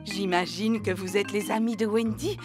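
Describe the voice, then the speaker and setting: A woman's voice: mid-range voice, fairly even intonation, normal speed, close to an everyday voice. A woman voicing a cheerful, motherly cartoon wolf with a playful, theatrical storybook delivery. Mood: playful, affectionate. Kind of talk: children's cartoon dubbing. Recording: loud music behind the voice.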